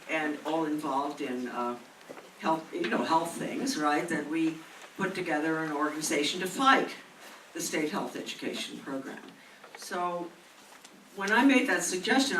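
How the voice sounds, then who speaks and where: Older woman, bright voice, chatty and rambling, distant mic in a roomy hall. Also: sits low, a little hiss or hum. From Maine. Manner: chatty, rambling, asks the audience to remember. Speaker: honoree's friend and speaker. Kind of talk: casual reminiscing speech. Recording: echoey room picked up from afar.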